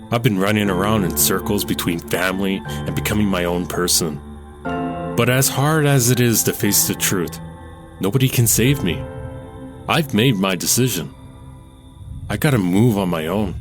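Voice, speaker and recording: An actor playing a weary young man arguing with his own doubts, earnest and low-pitched, in an audio drama. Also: expressive, normal speed, pauses often, music in the background, lightly acted. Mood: resolute.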